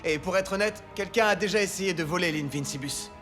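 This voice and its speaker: A man's voice: high voice, brisk, fairly bright tone, soft background music. A man playing an eager young inventor in a children's cartoon, explaining things with dramatic enthusiasm. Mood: earnest. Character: eager young male inventor, proud, earnest showman. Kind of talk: animated children's cartoon dubbing.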